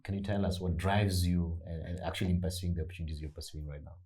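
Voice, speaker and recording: A man's voice: low voice, fairly even intonation, quick pace, a little mellow, breathy edge. A man moderating a panel, affirming the speakers often and asking follow-up questions. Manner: affirming, many short backchannels.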